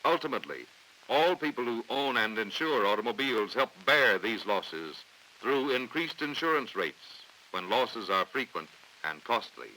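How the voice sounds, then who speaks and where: An American man reading scripted training film narration in a formal, authoritative, measured way on a vintage 1950s soundtrack. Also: medium pitch, big pitch swings, pushed and bright, poor audio. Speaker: documentary narrator.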